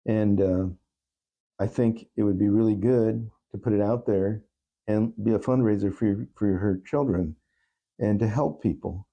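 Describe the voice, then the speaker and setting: Deep, gravelly, mellow-voiced man. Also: moderate pace. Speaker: talk show guest. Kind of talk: reflective interview answers.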